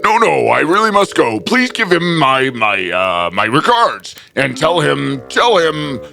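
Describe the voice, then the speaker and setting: A man's voice: on the high side, very animated, unhurried, gravelly, sharp tone, soft background music, exaggerated voice. A man playing a big rival giant in a storybook drama, intimidated, hesitant and nervously polite. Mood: flustered, backing out. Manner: hesitant, flustered, stammering.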